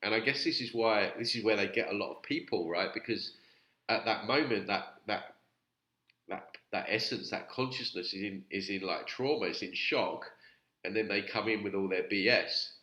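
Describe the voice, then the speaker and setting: A man with a cold gives loose, rambling commentary full of fillers, his voice audibly nasal. His tone is bright and forceful over a low pitch. The room adds a noticeable echo. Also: normal speed. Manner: chatty, rambling, with many fillers. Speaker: YouTube channel host reviewing videos. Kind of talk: casual vlog commentary.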